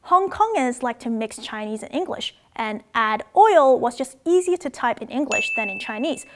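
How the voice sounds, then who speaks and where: Upbeat high-voiced woman from Macau. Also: normal speed, noisy background. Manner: upbeat, playful, with excited jumps in pitch. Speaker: language video host. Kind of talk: scripted educational narration.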